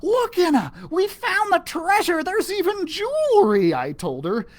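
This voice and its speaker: A man's voice: very high voice, wildly swooping pitch, a little slow. A man reading a children's story aloud, playfully talking like a pirate. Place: library video recording.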